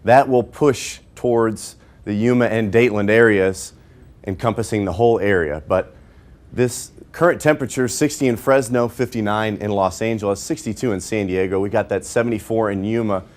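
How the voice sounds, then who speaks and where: A man presenting a TV weather forecast off the cuff, somewhat halting as he reworks his sentences. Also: lowish voice, darkish tone. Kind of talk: unscripted weather presentation, live aircheck.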